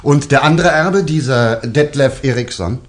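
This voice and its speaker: A man's voice: mid-range voice, normal speed, lightly acted. An actor playing a courteous, persistent male private detective in a German radio drama, polite and probing with hesitant interjections.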